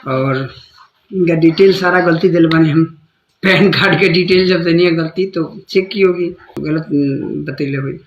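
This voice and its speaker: A man with a Bhojpuri accent speaks in an informal, colloquial way, repeating himself as he goes. His voice comes through with noticeable echo. There is clear noise behind him on what sounds like a home-recorded call. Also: on the high side, measured pace. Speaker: content creator warning followers about scam calls. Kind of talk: casual phone call and informal talk to viewers. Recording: echoey, clearly noisy phone call recording.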